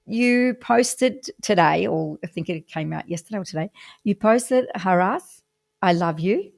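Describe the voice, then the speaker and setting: A woman's voice: medium pitch, expressive, unhurried, gravelly, a little mellow. A woman hosting a radio show, interviewing a guest with encouraging interjections of praise.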